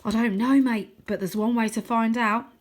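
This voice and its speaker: A woman voicing a plucky, anxious boy adventurer in a children's storybook, exclaiming in an animated way. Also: a little high, normal speed, natural voice. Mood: nervy eagerness.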